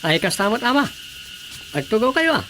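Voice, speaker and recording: A man's voice: high-pitched, sing-song, moderate pace, bright, forceful tone, slight background noise, full character voice. A man playing an exasperated comic village councilor with an Ilocano accent, full of questions and exclamations, in a radio comedy-drama. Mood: puzzled.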